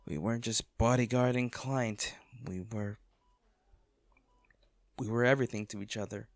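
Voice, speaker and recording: A man acting an anguished wealthy businessman in an intimate audio drama, speaking haltingly and emotionally to a comatose lover, with a gravelly voice. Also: on the low side, no echo, lightly acted. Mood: nostalgic, sorrowful. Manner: halting, emotional, with sighs and repeated words.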